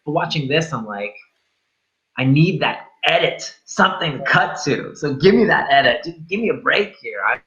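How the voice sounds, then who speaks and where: A man's voice: a little high, animated, normal speed, a bit bright, dull audio. A man co-hosting a film review show, talking casually in a rambling, enthusiastic way full of superlatives.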